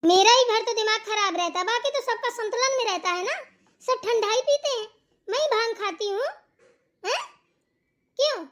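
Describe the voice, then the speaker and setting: A woman voicing a quick-tempered young daughter-in-law in a family cartoon, arguing and complaining with sarcasm. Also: very high-pitched, fairly bright tone. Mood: sarcastic. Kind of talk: amateur Hindi family cartoon dialogue.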